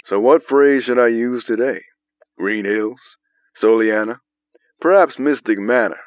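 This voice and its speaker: A man playing a smug, scheming manipulator in an audio drama, with a theatrical, unhurried delivery. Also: medium pitch, slight background noise, natural voice. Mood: smug, teasing. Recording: dull lo-fi, roomy echo.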